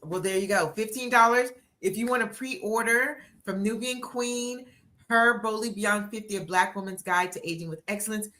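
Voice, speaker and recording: A woman in her sixties hosting a live-stream interview, energetic and encouraging with a motivational push. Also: medium pitch, moderate pace. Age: 60s. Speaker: interviewer and book coach.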